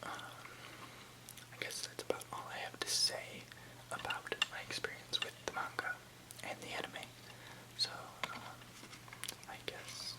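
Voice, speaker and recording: An ASMR host speaking entirely in a soft, unhurried whisper, reading aloud and chatting casually. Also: poor audio.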